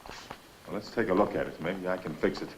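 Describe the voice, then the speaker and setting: An actor playing a steady, practical, middle-aged merchant seaman in a 1960s film, speaking tersely. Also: low-pitched, moderate pace, a little hiss or hum, lightly acted, poor audio. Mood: businesslike. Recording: 1960s film soundtrack, music underneath.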